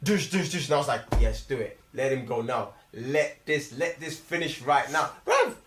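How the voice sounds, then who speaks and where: A man with a London British accent chatting about films in an excitable, emphatic, slangy way, repeating his phrases. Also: mid-range voice, normal speed, noisy background. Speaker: film review panellist. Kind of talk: casual film chat. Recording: echoey room sound.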